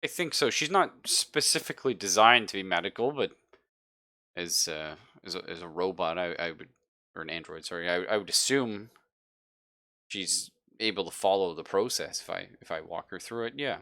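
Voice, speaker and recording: A man plays an obliging spaceship crewman in a sci-fi tabletop game. His low voice has a bright edge, and he talks hesitantly, correcting himself as he goes. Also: normal speed. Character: obliging, unsure male spaceship crewman just woken up.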